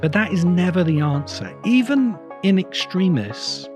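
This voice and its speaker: A man delivering a reflective, semi-improvised spoken essay as a host, with deliberate, halting phrasing and emphatic repeats. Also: high-pitched, sing-song, over music. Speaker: host of a science fiction video essay podcast.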